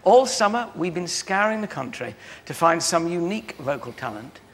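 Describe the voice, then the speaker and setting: A man's voice: fairly high pitch, very animated, normal speed. A man hosting a TV studio chat show, introducing contestants with teasing banter. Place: large TV studio with audience. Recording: reverberant studio with audience.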